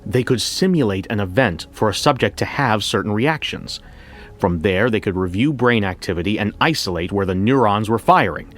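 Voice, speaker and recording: A low-pitched male actor as a wry office man telling his own story in an audio drama. He is reflective and conversational, measuring out his account over a music bed. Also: lightly acted. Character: male memory bank operations coordinator, wry, reflective storyteller. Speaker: narrator. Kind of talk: first-person scripted audio fiction narration.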